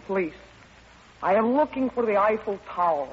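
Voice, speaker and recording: An actress playing a stern, literal-minded Russian comrade in an old radio comedy, speaking in a clipped, deadpan, formal way. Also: female voice, medium pitch, normal speed, lightly acted. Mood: cool, matter-of-fact. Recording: dull, noisy vintage radio recording.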